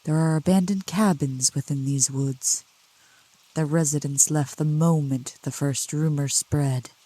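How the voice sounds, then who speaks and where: A woman acts out a doting demoness of the woods in an ASMR roleplay. Her voice is soft, low and dark, very close and dry. She speaks slowly and formally, soothing and teasing with a possessive tenderness. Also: steady tone, no echo. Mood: calm, musing. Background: rain.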